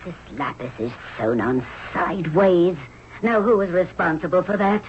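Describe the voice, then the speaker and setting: An actress playing a commanding, motherly middle-aged queen of Egypt, brisk and fussing, in a radio drama. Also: lowish voice, lightly acted. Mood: brisk, proud. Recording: dull, noisy old radio broadcast.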